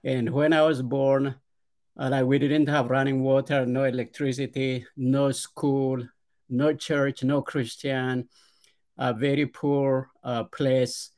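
A middle-aged man with an Ethiopian accent, speaking at an average pitch as a podcast guest. He tells his story haltingly, stopping often on "uh" and restarting his sentences. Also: a little mellow. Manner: hesitant, frequent "uh" pauses and repeated starts. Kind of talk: conversational storytelling.

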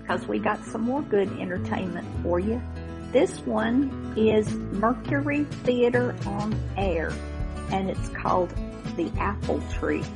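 A woman's voice: medium pitch, lively intonation, slow, creaky. A woman casually chatting as host as she introduces entertainment. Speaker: podcast host. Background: plucked guitar music.

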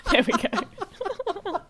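A young woman chatting casually and enthusiastically as a giggly podcast co-host. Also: extremely high pitch. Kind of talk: casual comedic podcast banter.

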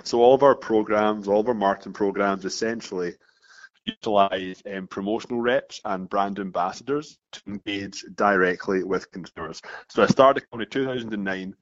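Scottish founder in his thirties, low-pitched, restarts phrases in a steady flow; dull, dry sound. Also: fairly quick, no room sound. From Scotland. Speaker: founder of a marketing agency, guest. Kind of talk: casual interview talk. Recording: dull muffled dry recording.

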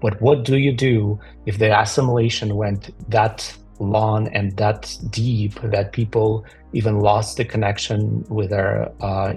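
A man's voice: fairly low pitch, darkish tone. A man from Ukraine, a podcast co-host, speaking earnestly in conversation and telling stories with some hesitation.

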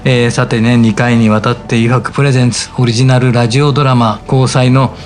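A man hosting a casual radio talk show, relaxed and agreeable as he leads the guests through closing remarks. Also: medium pitch, a little fast, soft background music. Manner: relaxed, agreeable, leads the wrap-up with frequent affirmations.

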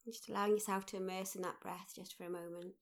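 A woman guides a mindfulness meditation in a mid-pitched voice with plenty of creak. Her delivery is gentle and fairly even, in short phrases with unhurried pauses between them. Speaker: meditation guide, workshop leader.

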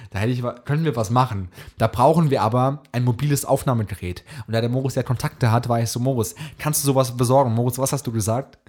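A man's voice: mid-range voice, a little mellow. A young man co-hosting a casual podcast on a close condenser mic, joking and poking fun at himself with a giggly manner. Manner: jokey, giggly, self-mocking. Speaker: podcast co-host. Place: recorded podcast on studio condenser microphone. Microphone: close condenser microphone.

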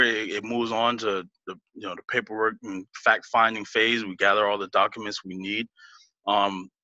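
A man's voice: lowish voice, pushed and bright, no echo, dull audio. A man as an interview guest, laughing easily and explaining things step by step with many restarts. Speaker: business guest. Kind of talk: casual interview talk.

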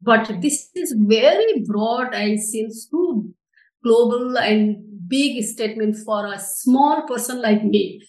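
A woman's voice: fairly high pitch, slow, a little mellow, reverberant, rough recording. A middle-aged woman from Nepal with a Nepali accent and non-native English phrasing, giving earnest explanations as an interview guest. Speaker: interview guest, social enterprise director.

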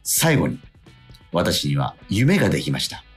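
A man hosting a radio show, chatting casually and reading out letters in a polite, animated way with frequent interjections. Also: deep voice, measured pace, breathy edge. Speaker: radio show host and actor. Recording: music playing faintly behind. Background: background music.

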